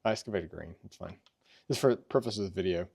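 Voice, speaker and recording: A man's voice: deep voice, fast talker, creaky, a little mellow, husky. A middle-aged man hosting a casual product review, informal and conversational, sometimes repeating himself. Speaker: video host.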